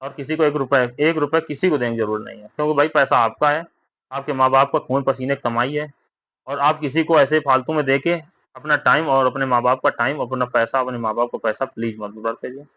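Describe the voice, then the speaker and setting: Bright, forceful man, lo-fi sound. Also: mid-range voice, fairly even intonation, fairly quick, dry recording. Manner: repetitive, with frequent tag questions seeking agreement. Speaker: exam update vlogger. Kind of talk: informal explainer addressed to exam candidates.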